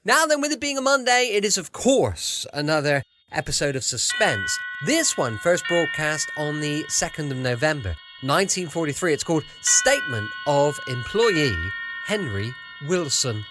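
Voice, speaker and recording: Lively, chatty English man hosting. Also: on the high side, moderate pace, music in the background. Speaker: podcast host. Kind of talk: casual chatty show introduction. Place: close, dry home studio.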